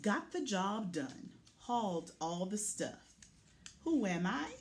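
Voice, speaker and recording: A woman's voice: mid-range voice, big pitch swings, takes it slowly, slight background noise. A woman voicing a boastful, plucky little pickup truck from a children's picture book, punchy and full of vocal sound effects. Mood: excited.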